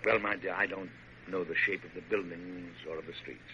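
An actor in a vintage radio drama playing a dignified, visionary American president, grand and declamatory, quick to exasperation. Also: male voice, deep voice, fairly even intonation, bright, forceful tone. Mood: dreamy, proud. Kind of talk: 1940s radio drama acting. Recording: noisy, muffled old radio broadcast.

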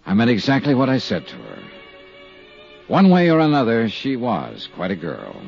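An American actor playing a world-weary hardboiled insurance investigator in a 1950s radio drama, with clipped, dryly emphatic delivery. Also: male voice, medium pitch, huge pitch swings, normal speed, gravelly, darkish tone, faint music behind. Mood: brooding. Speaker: radio drama lead and narrator. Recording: muffled vintage 1950s radio recording.

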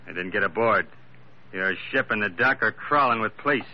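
A man's voice: lowish voice, fairly quick, close to an everyday voice. An actor playing an insistent, menacing plantation manager in an old-time radio drama, pressing his case urgently. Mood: impatient. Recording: muffled, noisy old radio recording.

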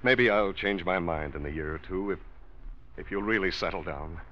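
Stern, low-voiced older uncle played by a man. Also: normal speed, a little hiss or hum, lightly acted. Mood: stern, firm. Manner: lecturing, righteous, formal. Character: stern moralizing older wealthy businessman uncle. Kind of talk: 1940s radio drama acting. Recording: dull old radio recording.

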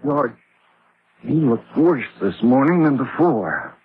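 An actor playing a firm, concerned doctor at a remote post in an old-time radio drama. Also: male voice, mid-range voice, sing-song, slow. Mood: grave, firm. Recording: muffled, noisy old radio recording.

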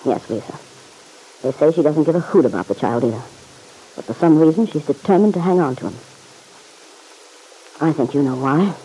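Insistent, slangy man in vintage radio drama; soft dark tone, rough grain, pressing and blunt. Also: fairly high pitch, animated, normal speed, pauses often, poor audio. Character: stern, insistent man pressing a woman for the truth. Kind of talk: old-time radio serial acting.

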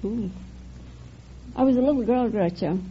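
A woman's voice: a little high, normal speed. A middle-aged woman from County Cork answering as a quiz contestant. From County Cork, Ireland. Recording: muffled, noisy old radio.

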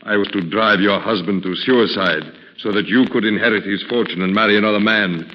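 An actor playing a suave, menacing fortune teller with formal, commanding speech in an old radio drama. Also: male voice, lowish voice, steady tone, a little fast, close to an everyday voice. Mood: hard, demanding. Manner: formal, smooth-spoken, commanding. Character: sinister suave male fortune teller, menacing and falsely courteous. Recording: muffled old radio recording, hissy, reverberant.

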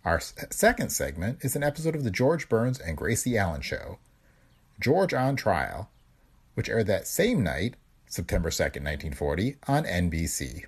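Low, lively podcast host, a man. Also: moderate pace, slightly rough. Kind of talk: scripted podcast introduction.

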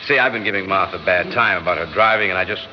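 A man's voice: low-pitched, a little fast, lightly acted. A man acting in a classic radio drama as an anxious, guilty middle-aged husband, hesitant, evasive and insistent. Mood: uneasy, persuasive. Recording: muffled, noisy old radio recording.

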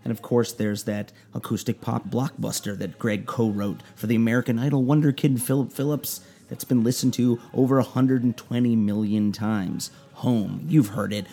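A man's voice: medium pitch, steady tone, normal speed, gravelly, few pauses, dark tone. A man hosting a radio show, talking in an enthusiastic, conversational way with playful asides. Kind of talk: scripted intro and interview.

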